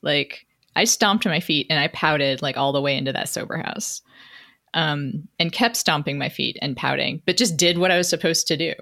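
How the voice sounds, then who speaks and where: A woman's voice: low-pitched, normal speed, gravelly, fairly bright tone. A middle-aged woman telling a personal story conversationally as an interview guest, reflective and self-deprecating, often checking in with "right?".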